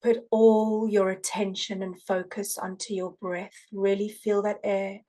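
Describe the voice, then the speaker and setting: A woman guest, an energy healer, talking in a gushing, repetitive way on a video call and then softly guiding a meditation. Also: mid-range voice, flat delivery, unhurried. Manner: effusive, repetitive, soft-spoken when guiding. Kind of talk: casual chat then guided meditation.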